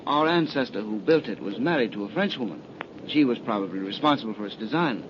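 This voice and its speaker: A man's voice: fairly low pitch, expressive, fast talker, lightly acted. An actor playing a nervous, superstitious, easily frightened man in a 1930s style radio horror drama, anxious and dramatic. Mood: uneasy, eerie. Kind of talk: 1930s old time radio horror acting. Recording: muffled, very noisy vintage radio recording.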